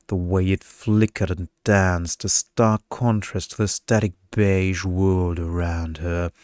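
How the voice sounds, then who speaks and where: Male narrator for ASMR bedtime fiction; low, unhurried, smooth, scripted read. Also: darkish tone, no room sound, studio quality. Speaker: story narrator.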